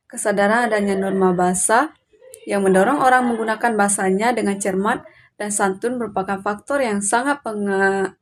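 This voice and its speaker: A woman presents a student assignment in a mid-range voice. She reads from a prepared text, reciting point after point. Her delivery is that of someone reading aloud, with occasional stumbles over words. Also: medium pitch. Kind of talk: scripted lesson narration, read aloud.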